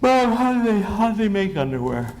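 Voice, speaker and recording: A man playing a curious schoolboy son in a live old-time radio comedy sketch, telling silly stories in a halting, eager way. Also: sits high, big pitch swings, pitched above the performer's own voice. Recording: noisy live ballroom event recording.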